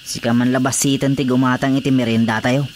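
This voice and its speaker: A man plays a sociable village councilor in an Ilocano radio drama comedy. His voice is medium in pitch with an Ilocano accent, and the track is a little noisy. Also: level tone, fast, a little mellow, lightly acted.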